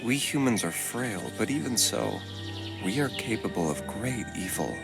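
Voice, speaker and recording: A weary male survivor reflects somberly in a game scene. His voice is deep and mournful, with loud music underneath. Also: animated, normal speed, gravelly. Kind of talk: video game character acting.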